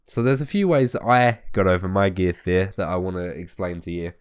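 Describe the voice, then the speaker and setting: A man hosting a gaming video, a little hoarse as his voice comes back, talking tips in a chatty way and swearing casually. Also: low voice, fairly quick. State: hoarse, recovering from losing his voice. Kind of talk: casual spoken game tips.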